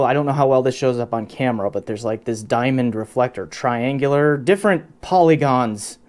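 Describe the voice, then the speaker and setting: A man's voice: mid-range voice, darkish tone. Casual man reviewing gear on camera. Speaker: audio gear reviewer and channel host.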